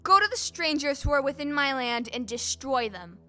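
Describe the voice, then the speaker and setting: A woman's voice: sits high, lively intonation, moderate pace, bright, forceful tone. A woman playing a wicked, hot-tempered witch in a theatrical audio play, commanding and imperious. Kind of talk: stage audio play acting. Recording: live stage show with music underneath.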